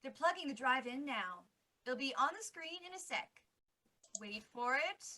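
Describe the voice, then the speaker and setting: Woman playing an excitable, high-voiced newsroom assistant. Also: moderate pace. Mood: urgent, excited. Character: excitable newsroom assistant relaying urgent backstage messages. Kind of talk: comic radio play acting.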